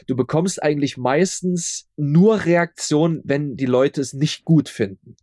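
A man with a moderately high voice talks as a guest in a casual interview. He explains things in a halting way, doubling back on words. The recording is close and dry, free of echo. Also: darkish tone. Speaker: audio drama maker being interviewed. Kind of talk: casual conversational interview answers.

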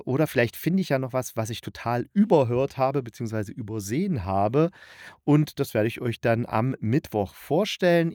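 A man's voice: mid-range voice, a little mellow, no echo, studio quality. A man hosting a podcast, giving a chatty review while thinking aloud and correcting himself. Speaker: podcast host and radio drama critic. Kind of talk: chatty, loosely scripted review monologue.

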